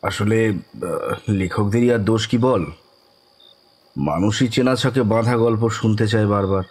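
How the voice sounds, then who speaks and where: A man playing a mild, easygoing storyteller who recounts his own eerie night in measured, rueful audio drama narration. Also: on the low side, a little mellow, slightly rough. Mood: mild, patient. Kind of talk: first-person horror audio drama narration. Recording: rain ambience behind voice. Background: rain.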